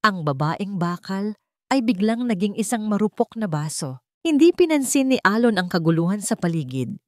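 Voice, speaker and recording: A woman's voice: mid-range voice, big pitch swings, moderate pace, dry recording, studio quality. A woman with a Filipino accent narrating a dramatic audiobook story from a script.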